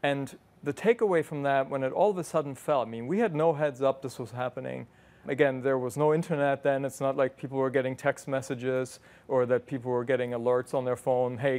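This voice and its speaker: A man's voice: mid-range voice, fairly even intonation, a little fast, darkish tone. Guy in his 50s, interview guest, thoughtful, takes his time with it. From West Germany. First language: German. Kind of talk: reflective conversational storytelling.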